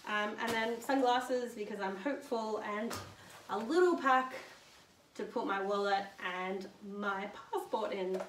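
A woman's voice: fairly high pitch, measured pace, a bit bright. A young woman chatting casually through her hiking gear at home, with many ums, standing back from the camera in her living room. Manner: chatty, upbeat, many "um"s, holds up items while talking. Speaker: hiker presenting her gear list. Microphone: camera standing a few metres away. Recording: roomy, distant camera mic, faint hiss.

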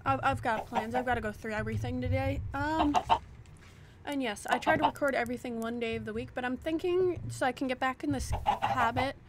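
A woman's voice: on the high side, very noisy. A woman chatting casually to her viewers while playing a building game, talking to herself as she sorts her items. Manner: chatty, self-deprecating, talks to herself while sorting items. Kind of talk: casual gameplay chatter to the camera. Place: home desk. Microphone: desk microphone at a computer.

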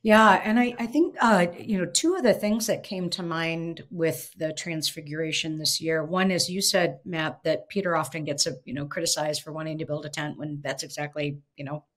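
A woman's voice: low voice, moderate pace, hardly any pauses. Middle-aged woman, professor co-host, reflective and musing, webcam sound from a home office. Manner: reflective, thinking aloud. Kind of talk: casual theological discussion. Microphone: video call webcam.

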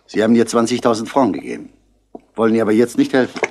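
An actor playing a calm, probing police commissioner in a 1960s radio play, measured and drily wry. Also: male voice, lowish voice. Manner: measured, questioning, dry.

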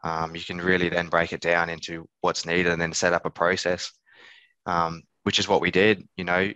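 A man in his thirties, a business owner giving casual, halting interview answers, thinking aloud. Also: sits low, little pitch movement, sharp tone, clear, resonant tone, no echo. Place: small office on a video call. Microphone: headset. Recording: slightly dull video-call audio.

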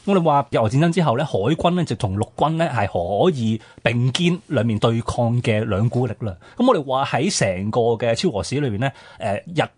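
Male history co-host, Hong Kong Cantonese, husky edge, animated question-and-answer explaining, dry studio-close sound. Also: medium pitch, fairly quick, few pauses, no room sound. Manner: explanatory, poses questions then answers them. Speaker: radio history programme co-host. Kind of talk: conversational history discussion.

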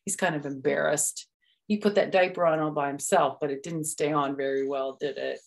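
A woman's voice: sits low, steady tone, normal speed. A middle-aged woman hosting storytime, reading a picture book aloud and adding simple explanations for young children. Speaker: storytime host. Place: small room at home.

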